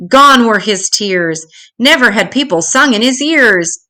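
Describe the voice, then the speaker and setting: An older woman reading a rhyming children's story aloud in an expressive, dramatic, deliberate way. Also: fairly high pitch.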